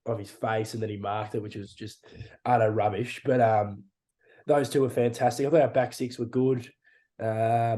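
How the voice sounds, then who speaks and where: A young Australian man with a mellow, low-key voice, talking footy on a podcast. He's blunt and frustrated, drops slang like mate and reckon, and swears freely. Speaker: podcast co-host. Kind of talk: casual sports chat.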